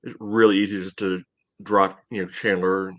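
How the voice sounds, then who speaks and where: A man co-hosting a casual sports podcast, reasoning through a match in halting, conversational phrases. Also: low voice, little pitch movement, dry recording. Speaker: podcast co-host.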